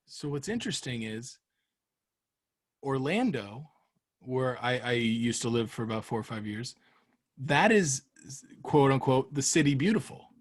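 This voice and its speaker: A man's voice: mid-range voice, lively intonation, measured pace, long pauses. An American man hosting a podcast in casual, comedic interview chat, with a joking, playful manner. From Florida, United States. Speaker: podcast host.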